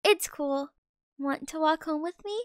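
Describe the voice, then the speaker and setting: A woman's voice: sits high, measured pace, dry recording, studio quality. A young female voice actor playing a snappy, sassy teenage schoolgirl in an amateur game movie.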